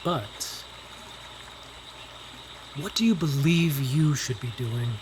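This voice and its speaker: A man playing a calm, devout knight with an earnest, measured manner in a fantasy audio drama. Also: a little high, background noise.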